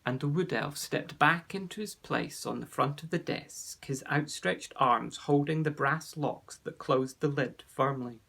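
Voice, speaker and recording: A man reading a children's fantasy storybook aloud, with clear, expressive storytelling. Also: fairly high pitch, measured pace, hardly any pauses. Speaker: narrator.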